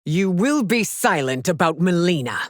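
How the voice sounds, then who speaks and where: An actress playing a haughty, regal, middle-aged warrior empress in a video game, speaking formally and imperiously with theatrical diction. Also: female voice, fairly low pitch, very animated, moderate pace, no room sound, clean studio sound. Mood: cold, authoritative. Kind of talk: video game character voice lines.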